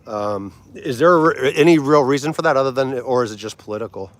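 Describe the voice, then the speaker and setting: A man, hosting a casual livestream, laughing easily and chatting with viewers. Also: mid-range voice. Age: 40s. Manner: chatty, laughs easily, tries foreign words slowly. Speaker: livestream host.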